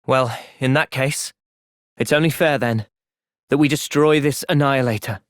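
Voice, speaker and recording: A man playing an earnest, gentle, resolute young soldier in video game cutscenes. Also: mid-range voice, fairly even intonation, normal speed, no echo, natural voice. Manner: earnest, thoughtful. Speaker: voice actor.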